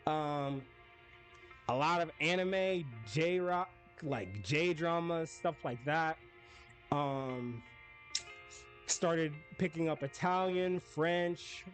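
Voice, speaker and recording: A man streaming a game, chatting casually with his viewers in a rambling way. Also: a little high. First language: English. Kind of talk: casual live-stream chat while gaming.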